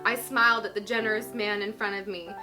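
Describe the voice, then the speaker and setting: A woman hosting a vlog, giving a theatrical, expressive reading of her own writing and chatting casually in a giggly way. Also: mid-range voice, a little fast, music in the background. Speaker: vlog host.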